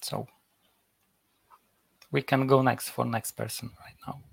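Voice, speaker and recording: A man from Poland introduces himself, stumbling and repeating words. His voice is heavily gravelly and husky, in a flat tone. The sound is dry and close. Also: mid-range voice, takes it slowly, no echo. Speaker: guest.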